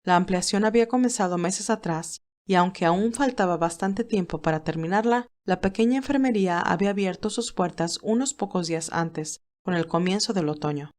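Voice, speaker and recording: A woman reading scripted story narration in a measured, expressive way. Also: on the low side.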